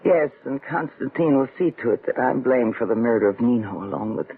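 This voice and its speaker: Husky, insinuating radio-thriller accomplice. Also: mid-range voice, animated, a little fast. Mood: fearful, bitter. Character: secretive, fearful, insinuating accomplice in a carnival crime drama. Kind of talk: 1940s-50s radio suspense acting. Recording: tinny vintage radio drama recording.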